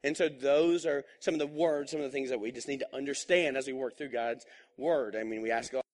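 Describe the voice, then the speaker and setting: A man's voice: medium pitch, fairly quick. Man preaching a casual sermon. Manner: conversational and joking, with rising emphatic bursts. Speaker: pastor.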